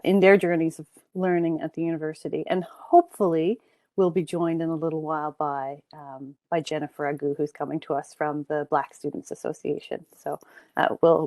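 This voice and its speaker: A woman hosts an online panel in a gentle, slightly low voice. She introduces each speaker warmly, with plenty of "um"s along the way. The sound is close and dry, with no room echo. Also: fairly even intonation, normal speed. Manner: friendly, filler-heavy introductions. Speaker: panel moderator.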